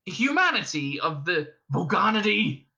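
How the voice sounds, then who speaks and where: A man voices a quick-witted schemer in a comic audiobook, trying to bluff his way out of trouble. He sounds high, sharp and urgent, stammering with nerves. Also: huge pitch swings, exaggerated voice.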